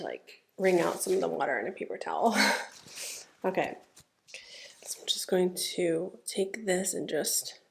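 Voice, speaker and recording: A young woman talks her way through a makeup routine at home, her voice a little blocked and stuffy from congestion. She keeps it relaxed and conversational, filling gaps with small words as she works. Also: mid-range voice, gravelly. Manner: chatty, relaxed, narrating each step with fillers. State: stuffed-up nose. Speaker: beauty vlogger. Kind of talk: casual makeup tutorial narration.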